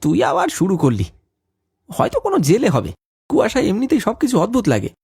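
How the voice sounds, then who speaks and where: A man's voice: sits high, huge pitch swings, fairly quick, gravelly, a little mellow, slightly rough, no room sound, full character voice. A man playing a scoffing, fearless young fisherman. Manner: dismissive, mocking. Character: skeptical young village fisherman, scoffing and bold. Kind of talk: cartoon voice acting.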